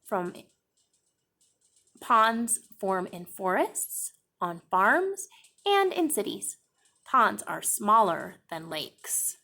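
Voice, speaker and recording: A young woman teacher reads a picture book to children at a slow, patient pace. Her pitch swings widely as she explains words and pages, and her bright, clear tone carries a gravelly edge at the ends of phrases. She is recorded close on a desk webcam in a classroom. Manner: slow, expressive storytime reading with explanations.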